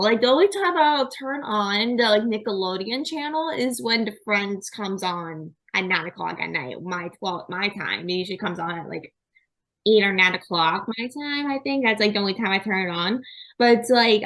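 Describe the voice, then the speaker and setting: A woman's voice: mid-range voice, lively intonation, moderate pace, a bit bright. A young woman hosting a podcast interview, chatty and filling her talk with "like". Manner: chatty, says "like" constantly. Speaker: podcast host. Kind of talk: casual interview chat.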